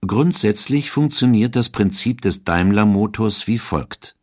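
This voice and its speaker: A man narrating a documentary audiobook in a calm, explanatory manner. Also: on the low side, a little slow, no echo, studio quality.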